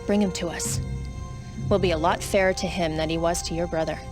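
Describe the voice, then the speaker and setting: Military commander played by a woman for a game: slightly low, steady, authoritative, businesslike briefing delivery. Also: brisk, over music, natural voice. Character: composed, authoritative female military mission commander. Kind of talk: video game cutscene acting.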